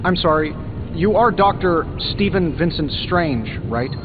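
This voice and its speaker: An actor playing a brash, excitable, wisecracking male hero in a video game, talking in a sarcastic, colloquial way. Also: fairly high pitch, a little slow, phone-quality sound. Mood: incredulous, sarcastic. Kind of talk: video game character dialogue. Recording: thin band-limited game audio, music behind.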